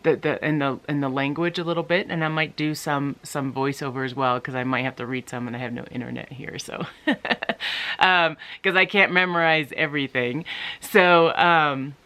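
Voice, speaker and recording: A woman's voice: low-pitched, fairly even intonation, normal speed, bright, forceful tone. A middle-aged woman from the United States chatting casually to her viewers, rambling with many ums and restarts. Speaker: vlogger. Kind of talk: casual talk to camera.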